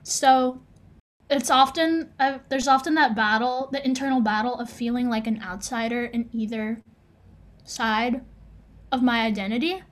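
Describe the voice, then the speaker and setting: A woman's voice: fairly high pitch, steady tone, unhurried. A young American woman co-hosting a casual podcast, talking earnestly and thoughtfully, restarting her sentences as she goes. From United States. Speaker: podcast co-host.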